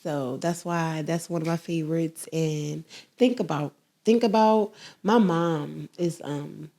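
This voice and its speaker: Young philly woman rapper, kinda low voice, bold and emphatic. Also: takes it slowly, creaky, warm tone. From Philadelphia, USA. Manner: bold, emphatic, faith-driven. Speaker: rapper guest. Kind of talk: casual interview chat.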